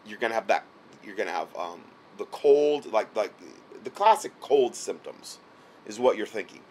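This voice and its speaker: Hoarse man talking, faint hiss. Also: on the low side, lively intonation, moderate pace, a little mellow. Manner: repeats himself, frequent "okay?" check-ins. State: hoarse, losing his voice. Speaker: health product seller giving advice. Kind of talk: casual instructional talk. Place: indoors, late at night.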